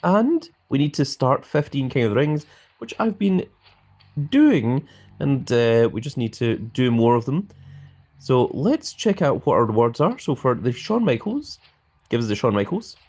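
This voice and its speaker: A man's voice: mid-range voice, very animated, unhurried, darkish tone. A young man live-streaming a mobile game, chatting casually and reading viewers' comments aloud with frequent ums.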